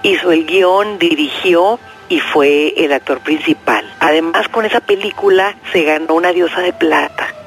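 A man from northern Mexico with a regional accent, reflecting conversationally as an interviewed expert and pausing to search for words. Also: fairly high pitch, fairly bright tone, faint music behind. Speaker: interviewed expert on film history. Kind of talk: documentary interview, reflective explanation.

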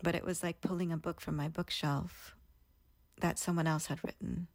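A woman's voice: low voice, little pitch movement, normal speed, gravelly, a little mellow, no room sound. A woman in her thirties, earnestly and reflectively telling her own story as a guest in an interview. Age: 30s.

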